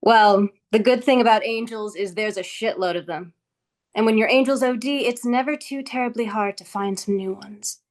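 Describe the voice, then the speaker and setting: Casting: actress for composed female office boss, highish voice, deliberate dry delivery, faintly condescending. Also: lightly acted. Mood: wry, consoling. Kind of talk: script table read.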